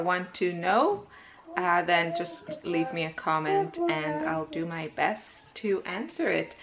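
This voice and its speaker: Slow, hesitant woman vlogging. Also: fairly low pitch, background noise. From Israel. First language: Hebrew. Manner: slow, hesitant, many pauses and fillers. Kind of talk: casual personal chat to camera. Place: at home with her baby. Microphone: handheld compact camera.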